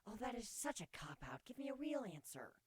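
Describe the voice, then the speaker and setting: A man voicing a playful, affectionate young female changeling pony with a breathy, coaxing voice in a fan fiction reading. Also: very high voice, big pitch swings, fairly quick, pitched above the performer's own voice, full character voice. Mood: insistent, bewildered. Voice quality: breathy, hushed. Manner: teasing, coaxing, tender.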